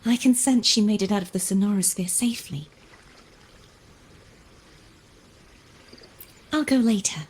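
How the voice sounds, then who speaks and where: An actress playing an earnest, resolute young maiden knight in a video game, speaking in formal, measured phrases. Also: female voice, medium pitch, a little mellow, slightly rough, lightly acted. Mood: thoughtful, gentle.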